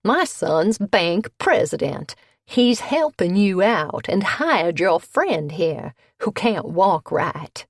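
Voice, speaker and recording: A woman's voice: fairly low pitch, very animated, takes it slowly, dry recording, clean studio sound, exaggerated voice. Woman doing a cranky grumbling old lady. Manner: grumbling, petulant.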